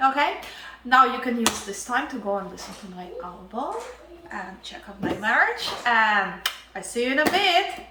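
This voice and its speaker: A woman's voice: fairly high pitch, reverberant, recorded from a distance, noisy background. A woman with a Ukrainian accent hosting a casual cooking demonstration, chatty and often checking in with her viewers. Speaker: cooking show host.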